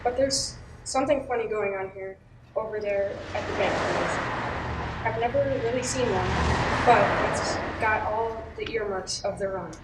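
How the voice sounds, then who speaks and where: Through loud noise in an echoey space, a woman acts in a radio play. Her voice is fairly high and somewhat distant from the microphone. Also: normal speed, lightly acted. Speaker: actor in a youth group radio play. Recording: very noisy, echoey, off mic.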